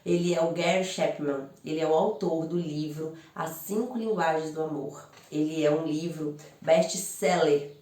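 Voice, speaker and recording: A woman's voice: low-pitched, unhurried, few pauses, warm tone. A woman with a Brazilian accent, a couples psychologist, explaining in a friendly, chatty way with rhetorical questions. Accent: Brazilian Portuguese. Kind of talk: informal explanatory talk to camera. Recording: roomy echo, voice from far off.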